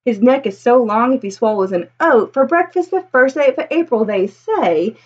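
A woman's voice: fairly high pitch, animated, moderate pace, darkish tone, breathy edge, muffled recording. A woman in her thirties reading a children's picture book aloud expressively, with a singsong, rhythmic delivery. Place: small indoor room with bookshelves.

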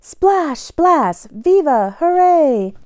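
A woman reading a children's picture book aloud in a bilingual read-aloud style, expressively and deliberately, phrase by phrase. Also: high-pitched, very animated, a little mellow, dry recording.

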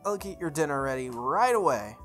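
A woman's voice: low-pitched, expressive, a little fast, faint music behind. A woman voicing a modest, polite teenage schoolgirl eager to please, in visual novel dialogue. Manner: polite, gentle wording.

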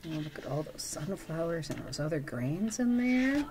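Low-voiced middle-aged woman reading a picture book. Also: sing-song, moderate pace. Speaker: storytime reader. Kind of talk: picture-book read-aloud with chatty asides. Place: small room at home.

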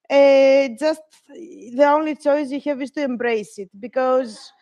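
A woman's voice: high-pitched, darkish tone, dry recording. A young woman from Greece giving a live online lecture, friendly, with many hesitant fillers. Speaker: guest lecturer.

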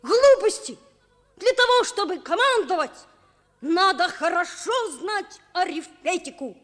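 An impulsive little schoolboy in a Soviet radio play. The voice is extremely high and childlike, full of emotional pleading. It rings with long reverb, as in an old studio recording. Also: female voice, expressive, unhurried, a bit bright, heavily acted. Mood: indignant. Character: schoolboy Dimka, impulsive fourth-grade would-be sailor.

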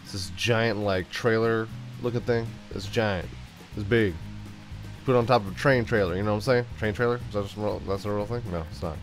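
A man's voice: lowish voice, brisk, soft background music. Stuffy-nosed young man goofing through a game. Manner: goofy, jokey, sings and riffs to himself. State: stuffed up from a cold. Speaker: gaming YouTuber. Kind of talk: playful let's-play commentary.

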